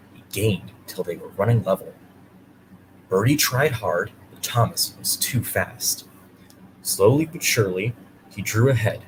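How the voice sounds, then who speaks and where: A man's voice: fairly low pitch, noisy background. A man hosting and reading a children's bedtime story aloud. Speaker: storyteller and host.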